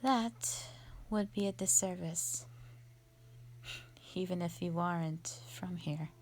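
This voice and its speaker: Ancient female dragon guardian for audio drama, played by a woman: low-pitched, unhurried, proud, stern yet composed, formal diction. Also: darkish tone, slight background noise. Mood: calm, indulgent. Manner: formal, measured, stately. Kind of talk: scripted roleplay audio drama monologue.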